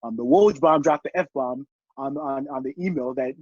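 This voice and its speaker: A man speaking as a guest on a podcast, giving opinions in casual chat, halting and restarting often. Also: medium pitch, a little mellow, no echo. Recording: dull, dry lo-fi sound.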